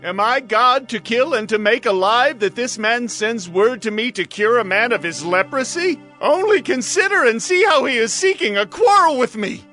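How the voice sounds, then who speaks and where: A man's voice: very high voice, animated, normal speed, few pauses, sharp tone, soft background music, full character voice. An actor playing a distraught, suspicious king in a dramatized audio Bible, indignant and agitated. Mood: outraged. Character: distraught, suspicious king of Israel, adult male.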